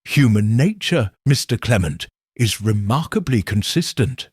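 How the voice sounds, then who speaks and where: A man voicing a shrewd elderly village spinster, speaking in a gentle, probing, knowing way. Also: mid-range voice, lively intonation, a little slow, slightly rough, no room sound, studio quality. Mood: shrewd musing.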